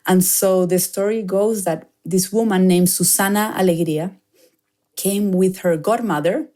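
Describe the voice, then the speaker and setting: A woman's voice: lowish voice, measured pace, dark tone. Reflective woman with Chilean Spanish accent. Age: 30s. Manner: reflective, with frequent "like" fillers. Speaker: guest filmmaker. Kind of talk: personal storytelling in an interview.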